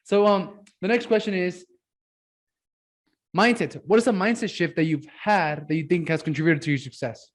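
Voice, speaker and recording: A man's voice: sits high, steady tone, normal speed. Young man hosting, affirming and casual. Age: 20s. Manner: affirming, says "yeah, man" a lot. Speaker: podcast host. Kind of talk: casual interview talk.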